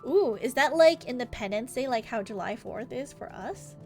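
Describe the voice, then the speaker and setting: An American woman streaming a game, chatting casually with an animated, gossipy manner. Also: a little high, moderate pace. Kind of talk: live stream chat while reading game dialogue aloud. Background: music.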